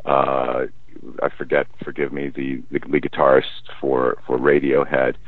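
Very deep-voiced man on a phone line. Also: a little slow, dry recording. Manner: relaxed, thoughtful, with frequent restarts. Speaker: musician guest. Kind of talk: casual interview chat. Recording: tinny phone line, dry.

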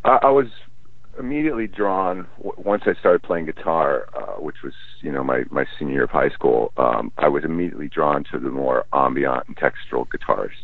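A man who is a musician, talking in a relaxed, thoughtful way and often restarting his sentences in a casual interview. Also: extremely low pitch, a little fast, vocal fry, breathy edge, no echo. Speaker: musician guest. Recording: tinny phone line, dry.

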